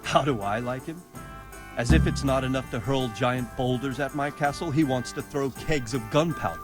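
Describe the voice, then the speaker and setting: Male actor as weary wounded nobleman, grave, middle pitch, medieval game dialogue, clear music underneath. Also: moderate pace, close to an everyday voice. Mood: indignant. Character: weary, wounded medieval lord fretting over his castle. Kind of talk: video game voice acting.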